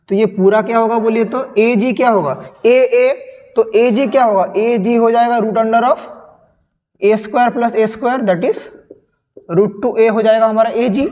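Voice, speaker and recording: A young male physics teacher with a sore, hoarse throat, slowly working through a sample paper and repeating questions to his students. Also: very high voice, a little mellow, highs cut off. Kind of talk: solving a sample paper on screen. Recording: long reverb.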